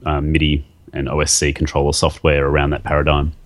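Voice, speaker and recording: A man's voice: a bass voice, monotone, a little fast. A man interviewed as a guest artist, talking casually and hesitantly, with many fillers and restarts.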